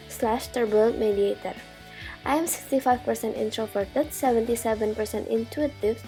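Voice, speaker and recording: A woman's voice: on the high side, creaky, dark tone. Young woman student from Indonesia, plain careful journal read, faint music bed. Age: young adult. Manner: plain, careful reading with a few slips of grammar. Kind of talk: read-aloud video journal narration.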